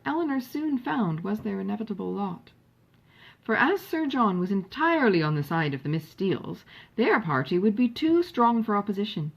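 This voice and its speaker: A woman's voice: medium pitch, husky, dull audio. A woman reading a classic novel aloud as an audiobook narrator, in a literary storytelling style. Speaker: audiobook reader.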